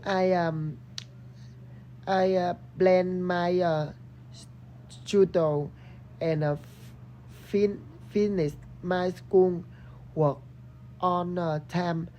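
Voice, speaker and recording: A young woman from Vietnam with a Vietnamese accent, giving a halting student presentation in English as a second language, often restarting words. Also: fairly low pitch, fairly even intonation, dark tone, slight background noise, rough recording. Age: young adult. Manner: hesitant, broken word starts. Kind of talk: halting rehearsed English class presentation and interview.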